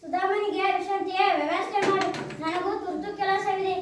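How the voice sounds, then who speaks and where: Casting: Krishna, child plays a warm noble young king, very high voice, booming echoey hall, distant mic. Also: fairly even intonation, normal speed, background noise. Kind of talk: school stage play.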